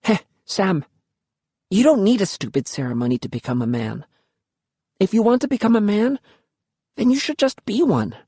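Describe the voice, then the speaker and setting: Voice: mid-range voice, big pitch swings, measured pace, a little mellow, no room sound, voice pushed up. A narrator voicing a bold, teasing young girl. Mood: insistent. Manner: playful, insistent.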